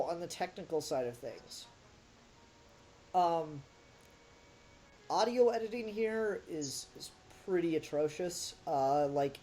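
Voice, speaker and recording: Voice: mid-range voice, dark tone, slight background noise, poor audio. This is a podcast host in casual review conversation. The delivery is critical and exasperated, with emphatic stress on the points being made.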